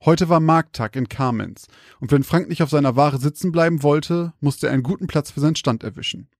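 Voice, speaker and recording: A man with a slightly creaky voice, hosting and telling stories on a podcast. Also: on the high side, a little fast, dry recording, clean studio sound. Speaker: podcast host and storyteller. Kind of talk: casual banter and scripted horror storytelling.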